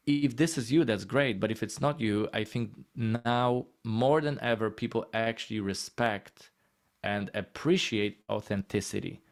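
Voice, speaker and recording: A man's voice: on the low side, a little slow. A man with a Polish accent giving a casual, encouraging presentation in short conversational phrases. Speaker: guest speaker on healthcare marketing.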